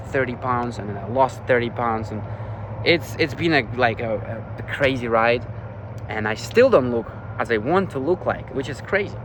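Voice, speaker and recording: A man's voice: medium pitch, background noise. A young man from Ukraine with a European accent, rambling casually through a vlog update with lots of filler words. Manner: rambling, many "like" and "you know" fillers. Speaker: vlogger.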